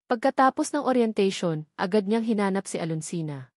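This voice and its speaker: A woman's voice: medium pitch, lively intonation, brisk, a little mellow, dry recording, clean studio sound. A woman reading a scripted dramatic story as its narrator.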